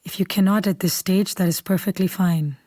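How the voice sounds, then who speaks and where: A woman leading a guided meditation in a calm, unhurried, soothing way, softly and at times almost whispering. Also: fairly low pitch, husky, no echo, studio quality. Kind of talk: guided meditation, slow scripted instruction.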